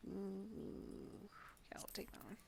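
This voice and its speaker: A woman's voice: medium pitch, takes it slowly, gravelly, very noisy. A woman livestreaming a game, reading quest dialogue aloud and murmuring casual asides in between. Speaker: livestream gamer.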